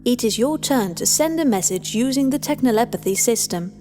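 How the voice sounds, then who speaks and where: A woman voicing a businesslike female scientist in an audio story, formal, measured and procedural, over music. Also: fairly high pitch, animated, dark tone, natural voice. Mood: formal, composed.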